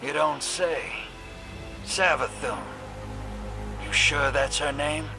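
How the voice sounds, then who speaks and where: A man's voice: fairly high pitch, slow pace, vocal fry, over music. A man playing a shady, sardonic drifter in a video game, talking in clipped, folksy, teasing slang. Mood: sly, teasing. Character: shady, streetwise, sardonic male drifter rogue.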